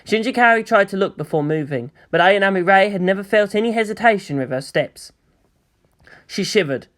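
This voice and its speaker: A narrator reading fan fiction aloud, changing pitch and pace for emphasis and voicing the characters. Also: lively intonation, brisk, fairly bright tone.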